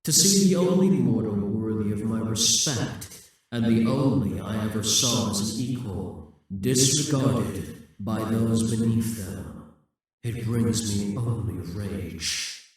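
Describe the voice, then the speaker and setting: Demon king, male performer: brooding, grandiose audio-drama villain, unhurried delivery, distant and drenched in echo. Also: on the low side. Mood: brooding, persuasive. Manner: slow, deliberate, condescending, grandiose. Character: possessive, brooding demon king risen from death, seductive and imperious. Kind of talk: scripted audio roleplay monologue. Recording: echoing, reverberant, distant from the mic.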